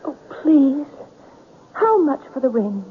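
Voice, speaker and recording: High-voiced, sorrowful young woman. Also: sing-song, slow pace, slight background noise, heavily acted. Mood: desperate pleading. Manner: plaintive, hesitant, breaking phrases. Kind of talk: 1970s radio drama acting. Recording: tinny 1970s radio broadcast.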